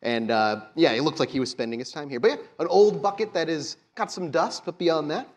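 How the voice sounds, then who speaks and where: A man's voice: a little high, a little fast. A man narrating a live tabletop roleplaying game as the game master.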